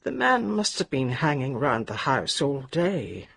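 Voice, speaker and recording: Old posh english invalid lady, radio play, breathy n raspy, lively swoops, snippy but drifts off. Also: very deep voice, exaggerated voice. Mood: querulous, scornful. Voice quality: frail, breathy, husky. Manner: halting, querulous, imperious upper-class speech. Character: ailing bedridden older lady, sharp-tongued and wandering. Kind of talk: radio drama acting.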